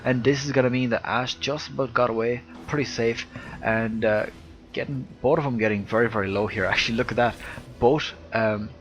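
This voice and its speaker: A man with an Irish accent and a soft, slightly lispy voice, giving live video game commentary into a close headset mic. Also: mid-range voice, fairly even intonation, a little fast, dull audio, faint music behind. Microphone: headset close to the mouth.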